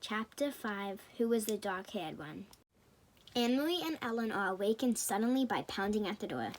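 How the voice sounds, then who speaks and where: A woman's voice: medium pitch, animated, moderate pace. A woman reading scripted story narration for a puppet show, in a slightly noisy home recording.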